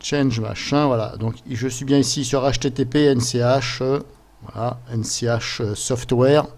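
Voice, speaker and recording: Informal man giving a software walkthrough. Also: medium pitch, steady tone, a little slow. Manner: informal, chatty, step-by-step explaining. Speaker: software tutorial presenter. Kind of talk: casual screen-capture software tutorial. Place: voice-over recorded at a computer during screen capture.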